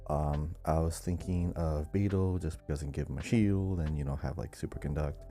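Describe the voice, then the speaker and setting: A man's voice: very deep voice, a little fast, dark tone, faint music behind. A man co-hosting a gaming podcast, chatting in a casual, conversational way. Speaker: podcast host.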